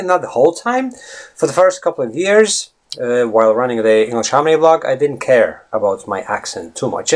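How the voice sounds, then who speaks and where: A man in his thirties from Latvia, speaking English with a Latvian accent as a podcast host and English coach. He talks in a casual, rambling way, with fillers and frequent self-corrections. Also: mid-range voice. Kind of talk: casual video monologue.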